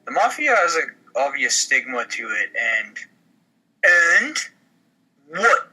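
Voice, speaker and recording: A man's voice: a little high, big pitch swings, slow, bright, forceful tone, husky, low-quality recording. A man performing parts in an informal comedy table read. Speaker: podcast performer reading parts.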